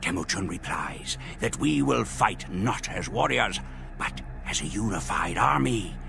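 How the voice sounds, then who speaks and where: A man voicing a grim Mongol warrior who narrates the campaign in measured, solemn storytelling for game cutscenes. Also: lowish voice, expressive, vocal fry, full character voice. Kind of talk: scripted dramatic narration. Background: music.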